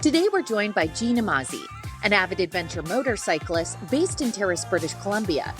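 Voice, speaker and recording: A woman co-hosting a podcast, reading a scripted intro and then interviewing in a playful, upbeat way, now and then dropping a swear word. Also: lowish voice. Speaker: podcast co-host.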